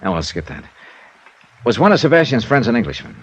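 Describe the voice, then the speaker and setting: An actor playing a tough, suspicious American investigator in an old-time radio drama, with a clipped, wry, interrogating manner. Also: male voice, mid-range voice, big pitch swings, brisk, husky. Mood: probing, matter-of-fact. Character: tough, suspicious, sardonic male insurance investigator. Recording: dull, band-limited old radio recording.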